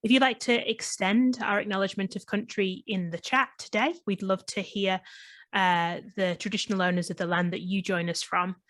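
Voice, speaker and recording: A woman's voice: medium pitch, steady tone, normal speed, fairly bright tone, no echo. Northwest English woman, friendly guest presenter over a video call, dry close sound. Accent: Northwest English, between Manchester and Liverpool, slight Australian twang. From England. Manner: friendly, many ums and restarts. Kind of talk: online presentation. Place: home in lockdown, video call.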